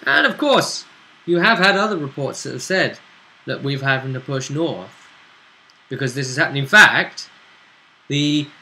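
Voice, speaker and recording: A British man speaking as a game master, recapping a tabletop role-playing story in a halting, conversational way. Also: mid-range voice, lively intonation, pushed and bright, rough recording.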